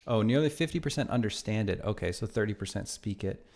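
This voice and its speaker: A man with a low, gravelly voice chats casually on a live stream as he works on his game. He thinks out loud and answers viewer messages as they come in. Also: expressive, fast. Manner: thinks aloud and reads viewer chat aloud. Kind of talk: casual livestream chat.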